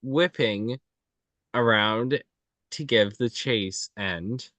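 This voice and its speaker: A man reading screenplay action lines aloud, halting and breaking them into short phrases. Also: medium pitch, fairly bright tone, dry recording. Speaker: table read participant.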